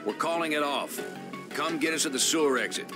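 A man's voice: a little high, a little fast, music in the background, lightly acted. An actor playing a pragmatic, team-minded male survivor in a video game, informal and insistent. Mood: frustrated, insistent. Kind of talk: video game cutscene acting.

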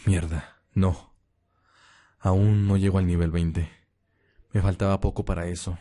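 Surly young male vigilante, acted by a man: low, flat, grudging, for roleplay audio drama. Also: measured pace. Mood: bitter, frustrated. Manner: curt, grudging.

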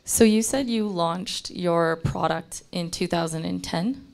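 A woman's voice: lowish voice, measured pace, gravelly. A woman on a judging panel asking pointed, skeptical questions about a startup's numbers. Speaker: panel judge. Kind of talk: questioning a pitch.

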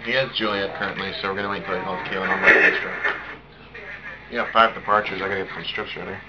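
A young American man plays air traffic controller at home in clipped radio phraseology and casual muttered asides. His voice is on the low side and very quick. The recording is heavily noisy. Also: fairly even intonation. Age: young adult. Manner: casual, swears when thinking aloud. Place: small home room, indoors. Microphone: camera on desk a step away. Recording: muffled, noisy webcam audio.

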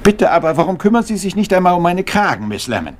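An actor playing a fussy, vain little detective, pompous and precise, in a German radio crime drama. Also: male voice, sits high, sing-song, fast talker, faint music behind. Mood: indignant, fussy. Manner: pompous, precise, sprinkles in French exclamations.

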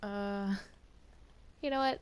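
Woman playing bossy villainess, mid-pitched, curt. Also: slow pace, clear, resonant tone, close to an everyday voice. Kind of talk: improvised Minecraft roleplay.

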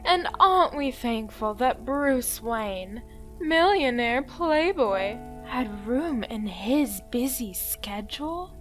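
A woman's voice: on the high side, expressive, slow, a bit bright, faint music behind. A woman playing a sultry, sardonic socialite in a comic dub, with a teasing drawl. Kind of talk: comic fan dub acting.

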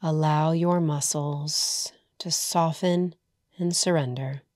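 A woman leading a guided meditation as a yoga nidra teacher, soothing and hushed, leaving long pauses between short phrases. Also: low-pitched, steady tone, no echo, studio quality.

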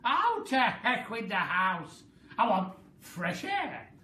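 A woman voicing a wild old grandmother in a storybook, crying out loudly in drawn-out, high shrieks. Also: sing-song, heavily acted. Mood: gleeful, demanding. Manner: shrieking, loud, drawn-out cries. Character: wild, gleeful old grandmother, greedy for more. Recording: roomy, distant mic, faint hiss.

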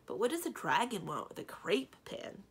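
A woman's voice: medium pitch, very animated, moderate pace, a bit bright, breathy edge, voice pushed up, heavily acted. A woman voicing a polite, inexperienced young male knight in a storybook, hesitant and bewildered. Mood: mild wonder. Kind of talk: storybook character dialogue.

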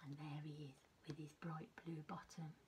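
A woman in her fifties reads a children's story in a measured, expressive way, with a slightly husky voice. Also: low voice, a little hiss or hum. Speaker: teacher reading a storybook to young children. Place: small furnished room at home, indoors.

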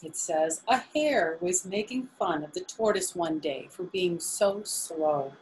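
A woman's voice: lowish voice, expressive, slow, a little mellow, a little hiss or hum, low-quality recording. A middle-aged woman reading and explaining a story to children, using simple explanations and questions for young listeners. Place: indoors, small living room on a sofa.

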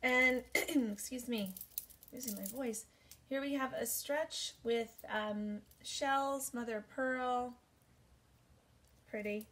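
Woman in her 40s, higher voice, very slow and unhurried, lots of ums, bedroom haul chat. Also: fairly bright tone, a little hiss or hum. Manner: unhurried, thinking aloud with many ums. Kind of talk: casual show-and-tell haul video. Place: bedroom, indoors. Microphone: camera at desk distance.

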